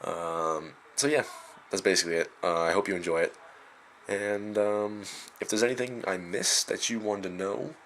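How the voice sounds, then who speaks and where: A young man, raspy from a cold, talking unscripted in a rambling, self-mocking way with lots of fillers. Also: sits low, fairly bright tone, a little hiss or hum. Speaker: video creator. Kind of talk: unscripted vlog.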